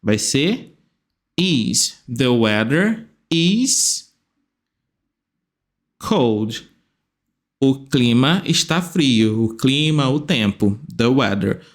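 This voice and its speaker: Slow, lively man teaching English. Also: medium pitch. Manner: repeats phrases slowly, stretching words, lively rising questions. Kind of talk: slow step-by-step grammar lesson.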